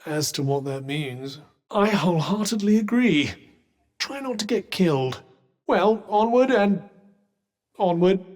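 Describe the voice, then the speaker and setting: Sardonic, refined British magical cuff. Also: male voice, high voice, lively intonation, unhurried, pauses often, darkish tone. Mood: wry, composed. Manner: dry, wry, sarcastic. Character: sardonic, haughty, erudite talking magical cuff. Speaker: voice actor in a fantasy video game. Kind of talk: scripted game companion dialogue.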